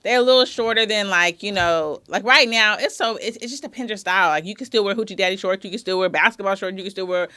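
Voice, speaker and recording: A woman's voice: mid-range voice, quick pace, hardly any pauses, pushed and bright, no room sound. A woman in casual, playful conversation, teasing and stretching out words. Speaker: guest. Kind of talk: casual chat.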